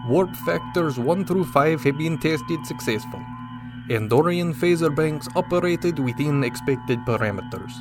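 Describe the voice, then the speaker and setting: A man's voice: fairly high pitch, lively intonation, measured pace. A man playing a dutiful starship lieutenant in a radio drama, speaking in a formal military manner. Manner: formal, crisp military reporting. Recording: music under the voice. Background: music.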